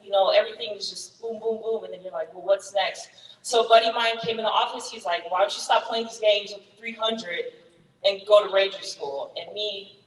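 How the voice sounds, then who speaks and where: A woman in her thirties, raised in Germany with German as her first language, telling her Army career story to fellow soldiers in a candid, energetic way. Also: medium pitch, fairly quick, roomy sound, in a large hall, far from the mic, poor audio. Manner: candid, energetic, many fillers. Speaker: Army first sergeant, guest speaker. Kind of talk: personal story talk to soldiers.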